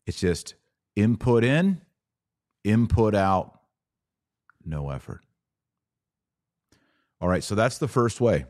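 A man's voice: on the low side, very animated, slow pace, pauses often, a little mellow, dry recording, studio quality. A middle-aged American man hosting a podcast, giving an opinionated monologue in emphatic, short punchy phrases. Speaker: podcast host.

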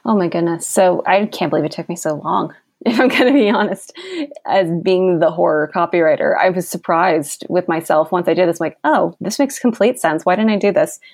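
A young woman speaks as a podcast guest, bubbly and quick to laugh. Her voice sits a little lower than most women's. The sound is dry and close, with no room around it. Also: darkish tone, dry recording. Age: young adult. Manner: bubbly and enthusiastic, laughs often. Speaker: guest copywriter. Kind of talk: conversational podcast interview.

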